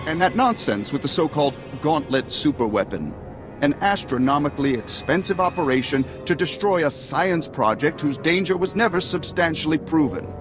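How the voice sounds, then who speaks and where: An actor playing a scheming, self-righteous politician, speaking in a pompous, oratorical way in a video game. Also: male voice, a little high, animated, normal speed, lightly acted. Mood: accusing, oratorical. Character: scheming, self-righteous senator, secretly a traitor, male. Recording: music behind the voice.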